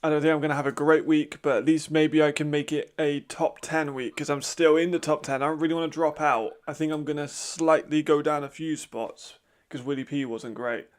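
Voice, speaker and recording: A man hosting a watch-along, giving casual live commentary with a raspy voice, chatty and laughing often. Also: fairly high pitch, steady tone, fairly quick. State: hay fever.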